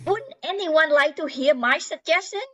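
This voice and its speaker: A woman's voice: high voice, lively intonation, moderate pace, pushed and bright. A woman playing a prim, earnest, politely insistent reindeer in an amateur Christmas radio play, in slightly dull sound.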